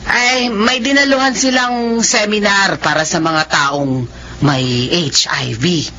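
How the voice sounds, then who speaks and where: A woman's voice: medium pitch, slow pace, bright, forceful tone, a little hiss or hum. An actress playing a kindly, chatty older mother-in-law in a radio drama. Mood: friendly. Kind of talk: Filipino radio drama acting. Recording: lo-fi radio, faint music.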